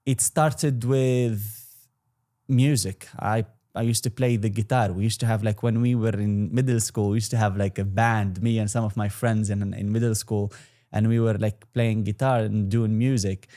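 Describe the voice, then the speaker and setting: A young man in his twenties, Arabic his first language, speaks as a guest in a relaxed interview. His voice is a touch low and mellow, and he rambles with restarts and fillers. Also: normal speed. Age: 20s. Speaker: guest entrepreneur. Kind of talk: casual interview talk.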